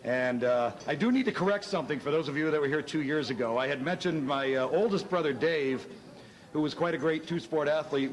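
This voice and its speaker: Hoarse middle-aged male emcee, slightly high voice, chatty and self-deprecating. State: hoarse from shouting. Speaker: master of ceremonies. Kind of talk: casual speech at a banquet. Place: hotel banquet hall.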